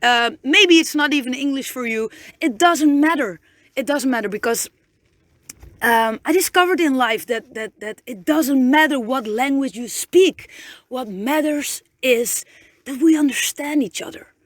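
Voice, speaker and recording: A woman's voice: sits high, unhurried, no echo. A young woman with a Dutch accent, a podcast host chatting casually and often restarting as she searches for words. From Netherlands. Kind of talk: casual solo podcast monologue. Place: inside a camper van in the rain.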